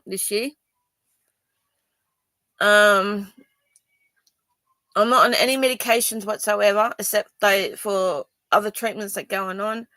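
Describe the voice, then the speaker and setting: A woman's voice: medium pitch, fairly bright tone, no room sound. An Australian woman from Sydney speaking to viewers in a personal video, rambling and defensive, with many ums and restarts. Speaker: vlogger.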